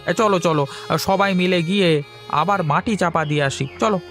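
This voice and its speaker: A man as a higher-voiced reassuring village neighbour. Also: moderate pace, fairly bright tone, soft background music. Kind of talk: cartoon dialogue.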